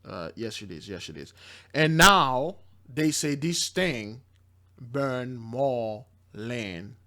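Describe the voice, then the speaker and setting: A man's voice: mid-range voice, animated, slow, pushed and bright. A man commenting informally on news and viewer comments from a religious angle, speaking emphatically and repeating himself.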